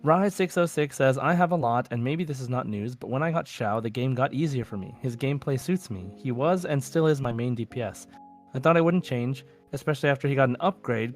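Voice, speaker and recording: A man with a mid-pitched voice co-hosts a casual podcast. He chats informally and reads listener messages aloud, with music faintly audible behind him. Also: lively intonation, fairly quick, darkish tone. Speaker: podcast co-host.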